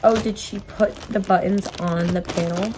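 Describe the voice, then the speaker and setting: A woman in her twenties with a hoarse voice that she has lost, chatting casually in her bedroom and filming herself on a phone held at arm's length, saying "like" constantly. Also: mid-range voice, fairly even intonation, moderate pace, noisy background. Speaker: vlogger. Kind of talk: casual vlog chat. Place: bedroom, indoors. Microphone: phone camera at arm's length.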